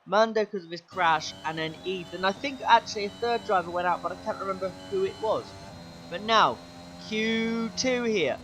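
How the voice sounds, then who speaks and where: Young man, hoarse race commentary. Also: sits high, moderate pace, slight background noise. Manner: excitable, shouts at big moments. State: losing his voice. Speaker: sim racing driver commentating his own race. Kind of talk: gaming commentary.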